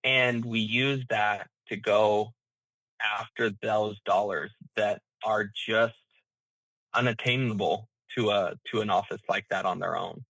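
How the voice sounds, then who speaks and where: A man speaking as an interview guest, explaining his business in a halting, thinking-aloud way with repeated words. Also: on the low side, steady tone, creaky, a bit bright, no room sound, low-quality recording. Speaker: interview guest, healthcare management founder.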